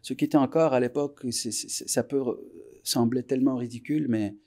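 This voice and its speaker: Man, 40s, from Iran, Persian native speaker; gravelly, reflective interview guest who pauses to find words. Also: medium pitch, dark tone. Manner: unhurried, reflective, pauses often to search for words. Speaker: musician guest. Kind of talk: relaxed interview recollection.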